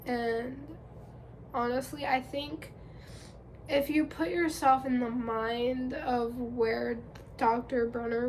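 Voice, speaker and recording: Congested young woman vlogger, high, unhurried, casual rambling chat. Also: a little mellow, a little hiss or hum, rough recording. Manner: chatty, rambling with fillers. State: congested from a cold.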